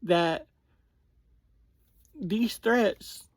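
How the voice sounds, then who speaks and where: An online reviewer giving a casual episode recap in short, halting phrases with pauses between them. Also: mid-range voice, dry recording.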